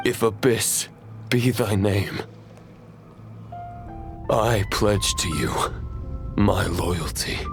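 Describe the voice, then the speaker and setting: Solemn fallen knight, slow and heavy, old-fashioned oath talk, game music under. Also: male voice, on the low side. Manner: slow, solemn, formal oaths.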